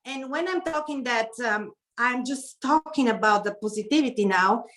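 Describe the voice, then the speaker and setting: A middle-aged woman with a Bulgarian accent introduces herself as a guest speaker on a video call, in a slightly halting way. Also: a little high, lively intonation, fairly bright tone. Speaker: guest keynote speaker and etiquette consultant. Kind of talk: informal self-introduction speech.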